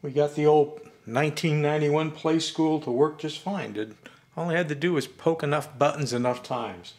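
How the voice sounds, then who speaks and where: An older man casually thinking aloud as he demonstrates something hands-on, musing and low-key, picked up by a camera mic indoors. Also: normal speed, a little mellow. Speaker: vintage robot channel host. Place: indoor room with glass display table. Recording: echoey room, clear noise, handling clicks.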